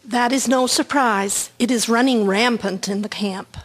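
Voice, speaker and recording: A woman acting a brisk, seasoned army hospital matron in a radio drama, matter-of-fact and instructive. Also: a little high.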